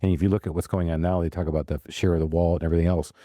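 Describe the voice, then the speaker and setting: A man's voice: low-pitched, no room sound, clean studio sound. A man hosting a business podcast, chatting casually and joking with his guest and co-host. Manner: lively, joking, quick interjections. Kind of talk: casual chat.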